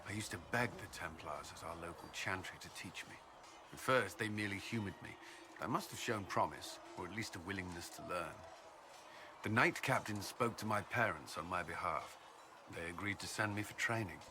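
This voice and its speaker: A man's voice: low-pitched, background noise, close to an everyday voice. An actor playing an earnest, dutiful military commander in a fantasy video game, a little hesitant in his speech. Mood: reflective, sincere. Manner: hesitant, halting when flustered.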